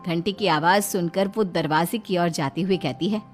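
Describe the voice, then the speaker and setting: A woman's voice: lowish voice, normal speed, soft background music. A woman narrating a scripted family moral story.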